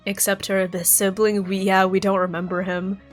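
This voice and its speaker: A young woman streamer reacting live to a game, thinking aloud, excitable, swearing and laughing. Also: medium pitch, fairly quick, soft background music.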